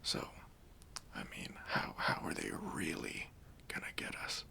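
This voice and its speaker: Guy doing a nice bank robber asmr, breathy and crisp whispery voice w some crackle, gentle n comforting. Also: a bass voice, huge pitch swings, exaggerated voice. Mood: soothing, reassuring. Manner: slow, gentle, casual and reassuring. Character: kindly, reassuring male bank robber comforting a nervous accomplice. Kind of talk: soft-spoken ASMR roleplay.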